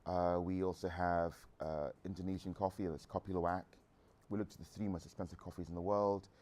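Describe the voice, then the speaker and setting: A man's voice: low voice, level tone, brisk, darkish tone. A man in his thirties from England, a guest entrepreneur answering audience questions in a frank, rambling way with restarts. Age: 30s.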